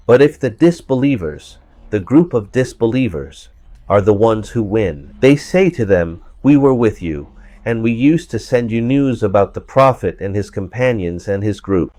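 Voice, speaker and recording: A man with a hoarse voice from a cold, giving verse-by-verse scripture commentary and repeating points for emphasis. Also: on the low side, warm tone, dry recording. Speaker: religious commentator.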